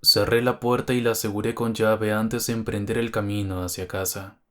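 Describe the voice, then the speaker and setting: A man's voice: lowish voice, little pitch movement, normal speed. A man reading a scripted first-person horror story as its narrator.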